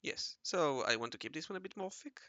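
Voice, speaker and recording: A man with a raspy voice talking casually to himself while he draws, mumbling and correcting himself as he goes. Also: on the high side, lively intonation, a little fast, pushed and bright, dry recording, dull audio. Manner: mumbling and self-correcting, often murmuring half to himself. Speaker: hobby artist narrating his drawing session. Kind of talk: casual think-aloud commentary while drawing.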